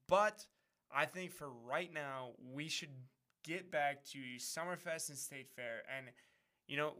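A man's voice: on the high side, bright, forceful tone. A young man from Wisconsin co-hosting a podcast, joking and swearing in casual chat while steering the topic. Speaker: podcast co-host.

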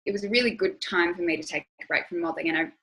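A woman's voice: lowish voice, rapid-fire, fairly bright tone. A young Australian woman speaking as an interview guest, candid and reflective, with lots of "you know" and "like". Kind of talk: conversational interview.

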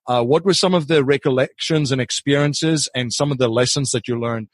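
A man's voice: mid-range voice, fairly quick, no room sound. Man from Africa hosting a podcast. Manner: repeats words while framing long questions. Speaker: podcast host. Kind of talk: interview podcast.

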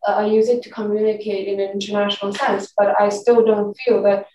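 A woman's voice: mid-range voice, little pitch movement, brisk, mellow, dull audio. Young Chinese woman, echoey distant recording. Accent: Shanghainese-accented Mandarin speaker; English with mixed US and British sounds. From Shanghai, China. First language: Mandarin. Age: young adult. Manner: thoughtful, hesitant, frequent restarts. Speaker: discussion participant. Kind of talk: casual group discussion. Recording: echoey room, distant mic, faint noise.

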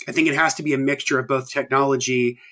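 A man's voice: medium pitch, steady tone, fast talker, bright, forceful tone. A man in his thirties hosting a podcast, talking casually and rambling with many ums and restarts. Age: 30s. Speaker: podcast host. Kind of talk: casual chat.

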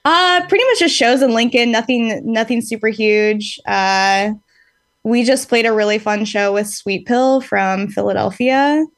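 A woman's voice: on the high side, measured pace, no room sound, clean studio sound. A woman in her late twenties, a band's singer, chatting casually as a guest, rambling and reflective with many fillers and easy laughs. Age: late 20s. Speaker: band singer, podcast guest. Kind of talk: casual interview chat.

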